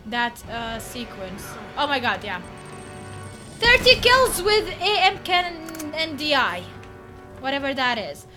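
A young woman from Bosnia and Herzegovina streaming a game live, excitable, with a voice partly lost, on a headset at home. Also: high-pitched, slow, over music. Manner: excitable, shouts and laughs at the action.

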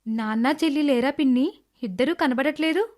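Woman playing a meek young expectant daughter, high voice, polite, affectionate, pleading, moral story drama. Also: normal speed, a little mellow, natural voice. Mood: polite, searching. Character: meek young pregnant stepdaughter, respectful and affectionate.

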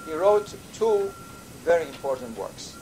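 An older man, a native Persian speaker from Iran, giving a measured university lecture with thoughtful pauses. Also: a little high, big pitch swings, darkish tone, faint music behind, low-quality recording. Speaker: professor. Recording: lecture recording with audible background noise.

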